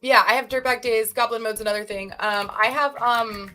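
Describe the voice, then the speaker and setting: A woman's voice: on the high side, fairly even intonation, normal speed, sharp tone, faint music behind. Woman streamer, chatty and self-deprecating, loose stream banter into a computer mic. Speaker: stream co-host. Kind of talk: casual livestream chat.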